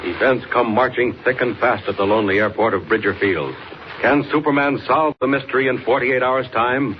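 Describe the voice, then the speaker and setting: A man's voice: medium pitch, lightly acted. A man announcing a 1940s radio serial in a dramatic, declamatory style. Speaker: announcer and narrator. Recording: muffled, noisy 1940s radio recording.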